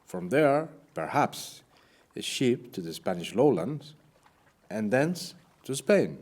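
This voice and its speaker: A man playing a wounded, weary, grave Spanish captain on the run in a period audio drama, with formal, measured speech. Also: mid-range voice, sing-song, a little mellow. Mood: grave, resolute. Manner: formal, measured, archaic phrasing.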